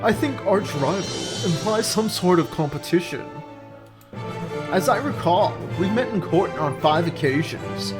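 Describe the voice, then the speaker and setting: Here is a man acting an arrogant rooster prosecutor from a video game. He is haughty and mocking, drawing out his lines theatrically with big swoops in pitch. Music plays faintly behind. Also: lively intonation, a little slow. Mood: smug. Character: pompous, sneering male rooster prosecutor, arrogant rival.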